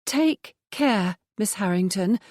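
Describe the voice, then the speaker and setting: A woman voicing a sharp, teasing young woman who pries and needles in a raised, higher voice. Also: lively intonation, slow, dry recording, clean studio sound. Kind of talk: audiobook dialogue.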